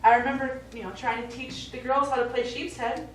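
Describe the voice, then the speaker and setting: Distant and echoey on a theatre stage, a woman plays a worried middle-aged daughter. Her voice is fairly high as she pleads with her old father. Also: little pitch movement, fairly quick, close to an everyday voice. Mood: pleading. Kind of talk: live stage play acting. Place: small indoor theatre stage. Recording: echoey theatre, distant mic, faint noise.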